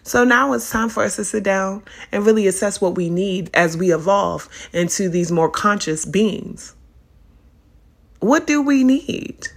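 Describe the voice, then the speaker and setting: A young adult woman hosting a casual solo podcast, her voice a little raspy as it recovers from illness, talking in an animated, playful and profane way. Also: medium pitch, a little slow. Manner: animated, profane, playful, spiritual self-help talk. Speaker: podcast host.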